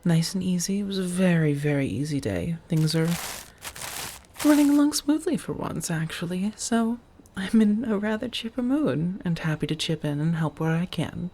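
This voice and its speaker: A woman playing an affectionate, teasing vampire roommate in an intimate ASMR roleplay, speaking softly and breathily to the listener. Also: on the low side, lively intonation, a little hiss or hum. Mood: chipper. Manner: gently teasing, drawn-out phrasing, soft chuckles.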